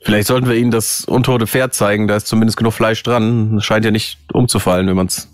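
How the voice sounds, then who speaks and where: Low-voiced man, dry tabletop player. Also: steady tone, fast talker, no room sound, soft background music. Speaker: tabletop role-play player. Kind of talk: casual game chat, partly in character.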